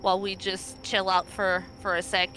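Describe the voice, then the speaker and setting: A woman playing a tabletop roleplaying game, talking casually and informally with some halting phrasing. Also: medium pitch, completely flat delivery, bright, forceful tone, faint music behind, rough recording.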